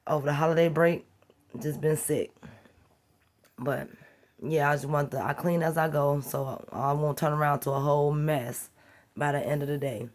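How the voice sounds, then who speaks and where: Slightly raspy woman chatting while she cooks. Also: sits low, steady tone, moderate pace, darkish tone. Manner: chatty, rambling and encouraging, talks while working. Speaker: home cooking vlogger. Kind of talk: casual how-to cooking vlog.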